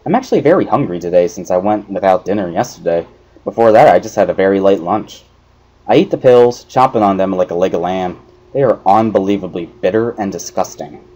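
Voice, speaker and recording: A man's voice: fairly low pitch, warm tone, rough recording. A man giving a dramatic first-person narration of a visual novel story. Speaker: narrator of a let's play dramatic reading.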